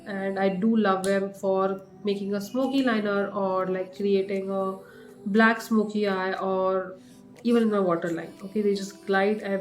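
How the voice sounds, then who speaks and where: A young woman with an Indian English accent talks through her favourite products in a relaxed, rambling way. Her voice is slightly groggy from a recent cough. The room rings a little, and soft music plays underneath. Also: medium pitch. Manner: chatty, with many fillers and restarts. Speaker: beauty vlogger. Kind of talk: casual product review to camera. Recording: echoey room with faint music.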